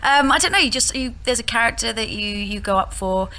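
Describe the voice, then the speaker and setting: A woman's voice: a little high, animated, fairly quick, bright, forceful tone. A woman in her thirties, a musical theatre actress, chatting hesitantly and laughing in an interview. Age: 30s. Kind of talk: casual interview chat.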